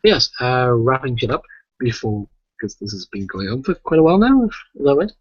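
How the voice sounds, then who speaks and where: A man's voice: mid-range voice, sing-song, fairly quick, breathy edge, no echo, dull audio. A British man co-hosting a casual podcast, chatty and rambling, swearing freely. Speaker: podcast co-host.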